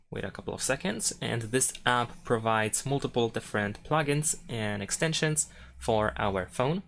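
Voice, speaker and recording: A man's voice: fairly low pitch, fairly bright tone, slight background noise. A man narrating a step-by-step phone tutorial as its host, giving simple instructions.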